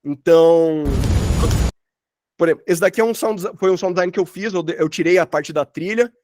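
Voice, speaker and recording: High-voiced Brazilian man, casual workshop talk. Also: fairly quick, darkish tone, no room sound. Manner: casual, rambling, slangy. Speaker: sound designer teaching a workshop. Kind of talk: informal online workshop talk, explaining work examples. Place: at home, online session.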